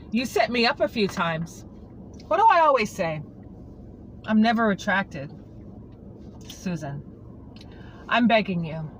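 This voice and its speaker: Heartbroken anchorwoman pouring her heart out to a coworker, earnest and pleading, middle pitch, as a reader puts her on. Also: a bit bright, a little hiss or hum, low-quality recording. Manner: earnest, pleading, confiding to a colleague. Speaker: monologue reader. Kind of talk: dramatic monologue reading.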